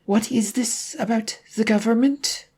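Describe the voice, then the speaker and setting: Arrogant, enraged male superhero for an audiobook, acted by a narrator; slow, threatening, mellow timbre. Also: medium pitch, fairly even intonation, slightly rough, pitched above the performer's own voice. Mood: suspicious, demanding. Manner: imperious, threatening, slow questioning. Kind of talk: audiobook character voice.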